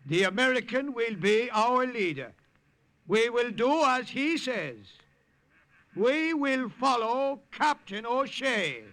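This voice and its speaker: A man's voice: very high voice, lively intonation, slow pace, bright, forceful tone, no room sound, full character voice. An actor playing a kindly, fatherly missionary priest in a 1950s radio drama, with a lilting, folksy manner. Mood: commanding.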